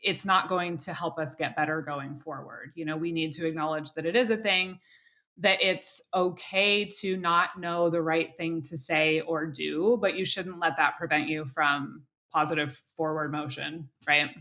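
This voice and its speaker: A woman's voice: sits low, fairly even intonation, moderate pace, bright, forceful tone. A woman hosting an interview podcast, speaking thoughtfully with frequent "you know"s and restarts. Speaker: podcast host.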